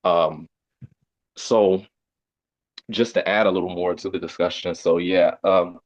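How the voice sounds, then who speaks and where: A man with a very deep voice explains earnestly over a video call. The audio is dull and muffled, and it sounds dry and close, with no room around it. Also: fairly even intonation, a little slow, no room sound. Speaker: community discussion participant. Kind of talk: casual discussion on a video call.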